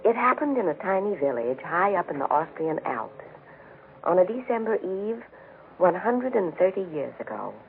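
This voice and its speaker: A man telling a story in solemn 1940s radio narration, with reverent, measured, formal phrasing. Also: high voice, very animated, creaky. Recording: tinny 1940s radio broadcast with music behind. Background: music.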